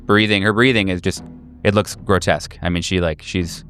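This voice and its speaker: A man working as game master, improvising tabletop horror narration in a halting, conversational, self-correcting way. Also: low-pitched, steady tone, a bit bright, soft background music.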